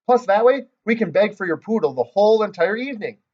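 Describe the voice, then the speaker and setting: A man's voice: sits high, expressive, quick pace, darkish tone, highs cut off, pitched above the performer's own voice. Man doing a bubbly bossy little girl. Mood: excited. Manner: bubbly, cheeky, ungrammatical kid talk.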